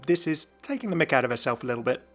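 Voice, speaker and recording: A man's voice: medium pitch, big pitch swings, very fast. A man giving scripted, explanatory commentary as the host of a gaming video. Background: background music.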